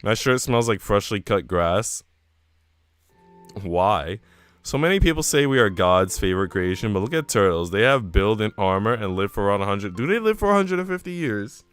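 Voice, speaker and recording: Low-voiced man chatting casually over music. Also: animated, moderate pace, a bit bright, clear, resonant tone. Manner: rambling, teasing, informal slang. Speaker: streamer reading a visual novel aloud. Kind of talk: casual livestream chat. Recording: music behind the voice.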